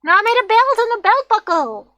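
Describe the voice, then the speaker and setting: A woman streamer with a slightly raspy voice, rambling and thinking aloud as she draws on a casual livestream. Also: very high voice, sing-song, a little fast, sharp tone, no room sound. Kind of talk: casual drawing livestream chat.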